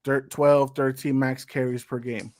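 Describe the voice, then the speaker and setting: A man's voice: mid-range voice, flat delivery, measured pace. A man on a casual sports panel, making his case in an exasperated, pointed way with rhetorical questions.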